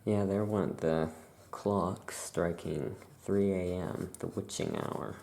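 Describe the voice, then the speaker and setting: A soft-spoken ASMR host playing a witch shopkeeper, casually improvising and thinking aloud in an unhurried, rambling way. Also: low voice, steady tone, creaky.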